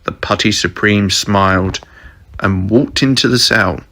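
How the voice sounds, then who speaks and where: A man's voice: on the low side, a little slow, pushed and bright. A man reading a scripted fan fiction story as narrator, acting out dialogue as he goes. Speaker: storyteller, podcast host.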